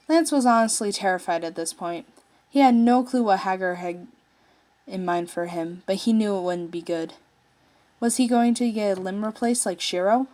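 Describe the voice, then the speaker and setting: A woman's voice: medium pitch, a little mellow. A woman narrating a piece of fiction, reading it aloud from a written text. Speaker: story narrator, fan fiction writer.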